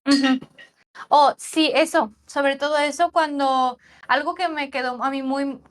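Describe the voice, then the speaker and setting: A young woman talks through a class she watched in an easy, casual way. Her voice sits high, and she hedges and qualifies her points, filling pauses as she thinks. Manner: reflective, hedging, many fillers. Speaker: language teaching student. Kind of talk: casual conversation analyzing a class.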